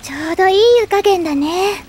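A woman's voice: very high voice, a little fast, background noise. A woman voicing a sweet, easily flustered teenage schoolgirl with girlish, exclamatory delivery in an anime audio drama. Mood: cheerful.

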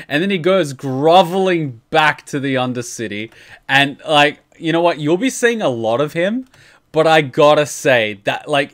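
A man casually explaining game lore on a livestream, swearing freely as he goes. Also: on the high side. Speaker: streamer and lore commentator. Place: indoor room at a streaming setup.

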